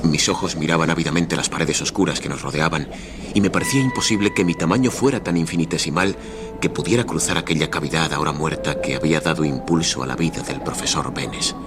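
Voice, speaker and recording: A man's voice: extremely low pitch, a little fast, over music. An actor playing an earnest, adventurous man on an expedition, dramatic in a radio play. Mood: awed. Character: awestruck adventurous man, determined expedition member telling his story. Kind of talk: radio drama acting and first-person narration.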